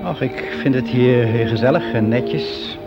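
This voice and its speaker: A man's voice: medium pitch, a little slow, gravelly, over loud music. An actor playing a fussy middle-aged man who confides in a hesitant, matter-of-fact, conversational way, as in a radio play monologue. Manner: hesitant, matter-of-fact, self-justifying, as if answering an interviewer. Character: frank, fussy middle-aged man confiding about his private life. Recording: dull lo-fi radio recording.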